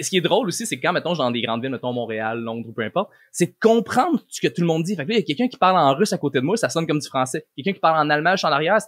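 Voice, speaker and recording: A Québécois man talks rapidly as an interview guest, explaining in an informal, slangy way. His voice is slightly high with a rough, husky grain. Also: a bit bright, no echo, studio quality. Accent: Québécois French. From Quebec, Canada. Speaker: interview guest, language coach. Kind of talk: casual explanatory chat.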